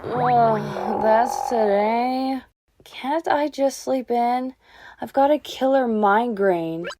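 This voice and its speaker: A young vixen creature acted by a woman with a fairly high voice and very slow pacing. She sounds hesitant, emotional and a little whiny, a moody cartoon heroine unsure of herself. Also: background noise. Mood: grumpy. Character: young female fox creature, moody, lost amnesiac. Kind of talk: animated comic dub acting.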